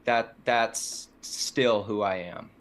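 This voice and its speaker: A man's voice: on the low side, drawn-out words, fairly bright tone. Easygoing young man telling stories. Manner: easygoing, self-deprecating storyteller. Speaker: guest musician. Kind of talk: casual interview chat.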